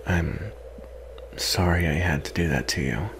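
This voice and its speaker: Casting: male actor as wry, guarded vampire for ASMR roleplay, very low voice, soft and hesitant, faint noise behind. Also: unhurried. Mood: gentle, hesitant. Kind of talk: ASMR roleplay, intimate scripted acting.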